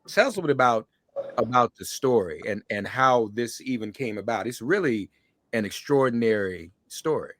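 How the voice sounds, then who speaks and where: A man's voice: medium pitch, lively intonation, normal speed, fairly bright tone, dry recording. A man hosting a conversational talk show interview, speaking effusively and often repeating his phrases.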